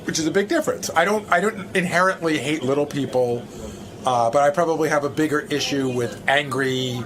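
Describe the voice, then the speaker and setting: A man's voice: fairly high pitch, a little fast, fairly bright tone. A male radio staffer answering interview questions candidly, blunt and emphatic, repeating himself. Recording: busy crowd chatter behind. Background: crowd noise.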